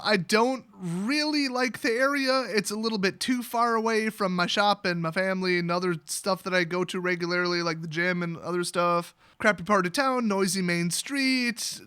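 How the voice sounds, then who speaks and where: An American man telling a personal story in a casual, rambling way, with a sarcastic, jokey delivery. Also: sits high. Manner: sarcastic, animated, jokey exaggeration.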